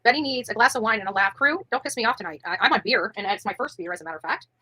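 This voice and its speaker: A woman's voice: medium pitch, rapid-fire, pushed and bright, husky, dry recording, poor audio. A woman in her forties chatting bluntly and brashly on a livestream panel, laughing often. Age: 40s. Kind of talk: casual livestream chat.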